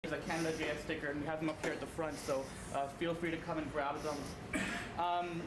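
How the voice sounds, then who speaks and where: A man's voice: a little high, level tone, quick pace. A man casually making community announcements at a meetup, with many fillers. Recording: echoey room with background noise.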